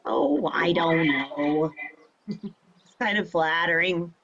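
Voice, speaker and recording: An actress playing a flippant, teasing retired heroine of sixty-five in a fan-made drama. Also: female voice, lowish voice, slow, creaky, fairly bright tone, lo-fi sound, slight background noise, full character voice. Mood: flippant, amused. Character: brassy, flippant 65-year-old retired heroine, a mother.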